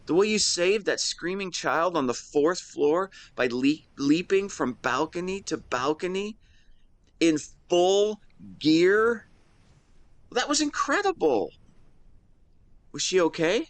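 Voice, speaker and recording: A man playing an affectionate, playful young best friend in a romantic audio roleplay, speaking to the listener in a teasing, tender, expressive way. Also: high-pitched, slow pace, no room sound. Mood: admiring, earnest.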